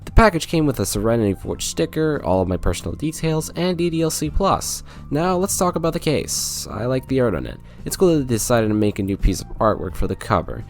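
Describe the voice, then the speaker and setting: A man's voice: mid-range voice, lively intonation, brisk, hardly any pauses, faint music behind. A man narrating a scripted video game review in a wry, sarcastic way.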